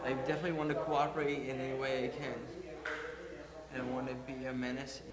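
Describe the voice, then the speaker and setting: A man's voice: mid-range voice, flat delivery, over a noisy crowd. A man in his twenties giving a casual, hesitant interview account. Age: 20s. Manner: halting, hesitant. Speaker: arrested reveler giving an interview. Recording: echoey room under loud music.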